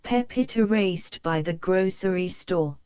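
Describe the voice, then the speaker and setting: A woman narrating a children's storybook in a slow, clear read-aloud manner. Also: on the low side, darkish tone, no room sound.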